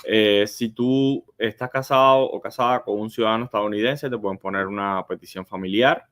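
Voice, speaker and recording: Lowish-voiced male immigration lawyer. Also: normal speed, fairly bright tone. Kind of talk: live legal advice, explanatory.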